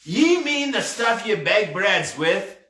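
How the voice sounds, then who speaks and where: A man voicing the sly, blustering ghost of a burly pirate captain in a storybook, with archaic pirate dialect and deliberate phrasing. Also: sits high, sing-song, bright, forceful tone, pitched above the performer's own voice, exaggerated voice. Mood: incredulous. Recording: roomy, echoey, distant mic.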